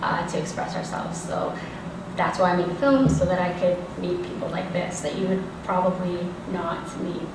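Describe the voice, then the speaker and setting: A woman's voice: lowish voice, level tone, normal speed. Woman, film director, panel talk, hesitant, far off in a reverberant hall, noisy. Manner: hesitant, many fillers. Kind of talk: panel discussion answer. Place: large assembly hall. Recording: echoey hall from far off, background noise.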